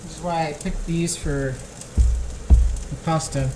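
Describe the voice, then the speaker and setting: A woman streaming live while she cooks, relaxed and thinking aloud as she works in a home kitchen. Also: low voice, a little slow, over music, poor audio. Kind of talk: casual cooking livestream talk. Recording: kitchen noise and sizzling pan. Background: frying and sizzling.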